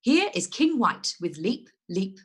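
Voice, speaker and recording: A woman playing a radio weather announcer with a measured, formal delivery. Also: medium pitch, very animated, husky, full character voice. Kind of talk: radio drama acting.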